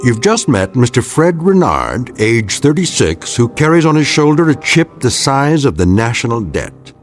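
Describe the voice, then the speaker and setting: A man giving dramatic scripted narration for a radio series, measured and portentous. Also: mid-range voice, very animated, faint music behind.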